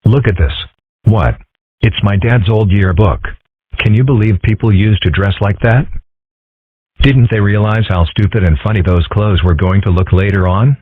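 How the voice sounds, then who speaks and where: A man's voice: lowish voice, moderate pace, breathy edge, no room sound. A man voicing a geeky, laid-back young fox boy in a comic dub, dry and matter-of-fact. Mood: amused, mocking. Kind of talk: amateur comic strip dub. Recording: muffled, phone-like lo-fi audio.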